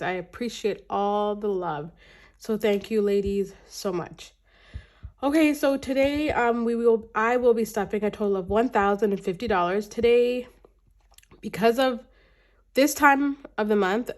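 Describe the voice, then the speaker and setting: Congested chatty woman vlogger. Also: medium pitch. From Canada. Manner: chatty, rambling, frequent restarts and ums. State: congested, slightly raspy from a cold. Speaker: budgeting vlogger. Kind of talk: casual chat to camera.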